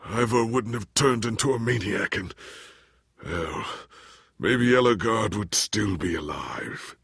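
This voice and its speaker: An actor playing a weary, confused, humbled warrior hero in a video game, raspy, with formal and earnest dramatic phrasing. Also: male voice, lowish voice, animated, slow pace, fairly bright tone, no room sound, studio quality, exaggerated voice. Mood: somber. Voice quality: raspy, gravelly.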